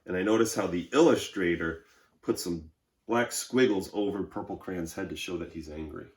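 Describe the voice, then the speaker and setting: A man's voice: deep voice, moderate pace. A man, a teacher, reading a children's storybook aloud and adding simple explanatory asides. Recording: roomy, echoing indoor sound.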